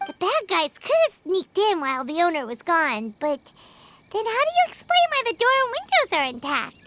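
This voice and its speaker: Small fairy girl, video game companion, childlike, extremely high voice, swooping animated intonation. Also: moderate pace, noisy background. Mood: puzzled. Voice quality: childlike, squeaky. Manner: speaks of self in third person, expressive. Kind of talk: video game character dialogue. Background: music.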